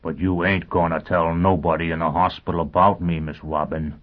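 A man's voice: low-pitched, level tone, normal speed, natural voice. Man acting a growling, menacing brute. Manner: mumbled, growling, uneducated blunt speech. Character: brutish, menacing, sullen hulking man. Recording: thin, phone-like band-limited sound.

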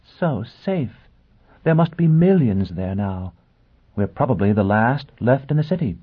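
Storybook noblewoman played by a male narrator: lifted pitch, husky breath, amused hauteur, icy and fierce, on a slightly dull recording. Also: animated, unhurried. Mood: taunting, amused. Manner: amused, haughty, theatrical.

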